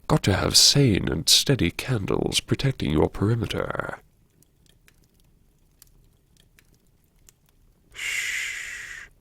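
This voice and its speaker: Man playing a deep, brooding, sardonic fallen tycoon. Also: vocal fry, slightly rough, no room sound, clean studio sound, heavily acted. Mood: uneasy, self-chiding. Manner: sardonic, theatrical, muttering to himself with sighs and pauses. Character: wry, brooding disgraced businessman musing to himself by candlelight. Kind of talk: first-person audiobook monologue, dramatic reading.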